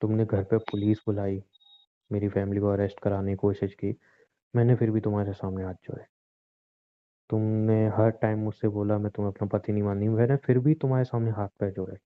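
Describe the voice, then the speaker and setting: A man's voice: on the low side, level tone, fairly quick, close to an everyday voice. A man playing a bitter, controlling young husband in a staged call drama, menacing and commanding. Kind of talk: scripted domestic drama. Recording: tinny phone-line recording.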